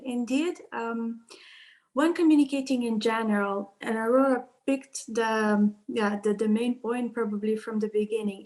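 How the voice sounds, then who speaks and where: A woman's voice: fairly high pitch, a little mellow, low-quality recording. A woman explaining her point as a panelist in a discussion.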